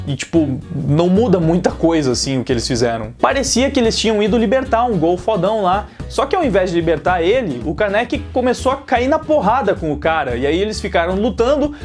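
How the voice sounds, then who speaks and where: A man's voice: high voice, a little mellow. A Brazilian man presenting a casual video review in an informal, conversational, explanatory way. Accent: Brazilian Portuguese. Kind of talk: casual anime review commentary. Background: background music.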